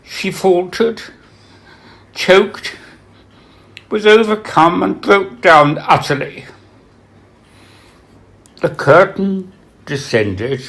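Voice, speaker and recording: Slow, solemn man reading aloud. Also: a little high, fairly bright tone. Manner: solemn, measured, dramatic pauses. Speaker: author reading from own book. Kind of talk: scripted literary narration, biography reading.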